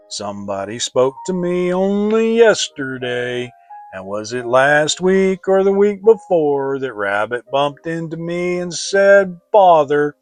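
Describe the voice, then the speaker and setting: A gloomy, self-important storybook donkey, acted out by a man, grumbles along very slowly. Every word is drawn out, ponderous and stern. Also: mid-range voice.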